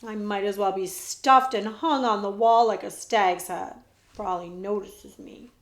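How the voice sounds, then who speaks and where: Quiet wistful boy prince, read by a woman. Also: mid-range voice, fairly even intonation, measured pace, roomy sound, close to an everyday voice. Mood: resentful.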